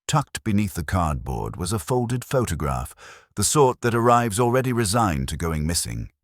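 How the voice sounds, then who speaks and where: A man reading scripted literary mystery narration in a measured, wry storytelling manner. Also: low-pitched, very animated, no echo, clean studio sound. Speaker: audiobook-style narrator.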